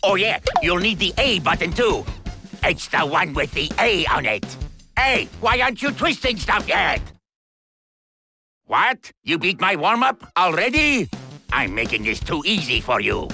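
A boastful, greedy cartoon troublemaker from a video game. The voice is high and swoops about, with a slow, exaggerated delivery. He is brash and bossy, gloating at everyone. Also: very animated, creaky, bright, forceful tone, soft background music, full character voice. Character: greedy, boastful, cackling middle-aged male game villain. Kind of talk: animated video game character acting.

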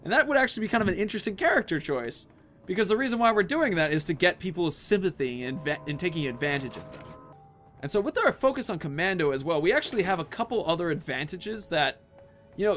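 A man's voice: high voice, a bit bright. A man in his thirties giving casual, chatty let's-play commentary, thinking aloud as he plays. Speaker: gaming video host. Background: video game sounds.

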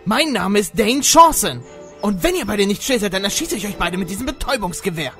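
A man's voice: high voice, animated, a little fast, sharp tone, soft background music. A man dubbing a smug, scheming young rival hunter in a comedy, theatrical and taunting in his delivery. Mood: threatening. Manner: theatrical, taunting, whiny when angry. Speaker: voice actor in a fan dub. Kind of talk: exaggerated comedy fan dub.

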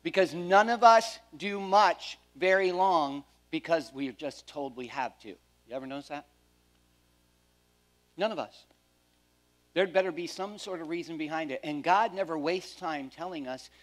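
High-voiced man preaching a sermon. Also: normal speed. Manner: animated, pacing the stage, shifts between loud emphasis and quiet asides. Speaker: pastor. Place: church stage.

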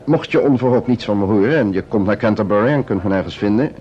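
A man's voice: fairly low pitch, fast, mellow, lightly acted. A man playing a breezy, joking middle-aged friend of a detective in a vintage Dutch radio drama. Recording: muffled old radio recording, music underneath.

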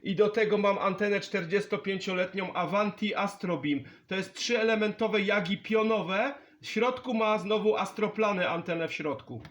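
High-pitched chatty man. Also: normal speed, hardly any pauses, fairly bright tone. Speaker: CB radio operator and channel host. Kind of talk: casual CB radio contact chat. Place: indoor home radio station.

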